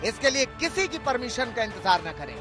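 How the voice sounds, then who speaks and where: A man's voice: extremely high pitch, sing-song, brisk, music in the background. A man reading a scripted television news report as a reporter.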